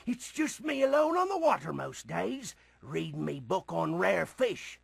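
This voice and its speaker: Grumbly old talking sea captain, super croaky n rough, slow salty delivery, cartoon game. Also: male voice, high voice, very animated, dry recording, heavily acted. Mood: grumbling, ominous. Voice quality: gravelly, raspy. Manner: salty old-sailor dialect, theatrical.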